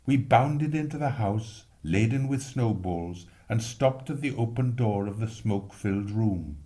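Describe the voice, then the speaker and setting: Man, low voice, expressive literary narration, story reader. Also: measured pace.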